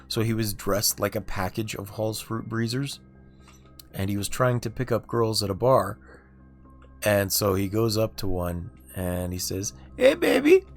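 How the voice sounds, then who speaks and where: A man streaming a video game, talking through his moves in a low-key, muttering way. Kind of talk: casual gameplay commentary.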